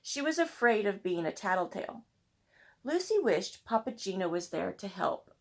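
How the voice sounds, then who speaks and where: A woman reading a children's storybook aloud in expressive narration. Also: medium pitch, normal speed. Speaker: storybook reader.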